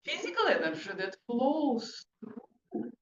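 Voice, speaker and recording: Female workshop presenter, non-native English, very lively intonation, unhurried emphatic phrasing, muffled noisy recording. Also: fairly high pitch. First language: not English. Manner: emphatic, questioning, short deliberate phrases. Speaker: presenter, hypnotherapist. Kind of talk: interactive talk to a group. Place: room with an audience, indoors. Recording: echoey, muffled room recording with background noise.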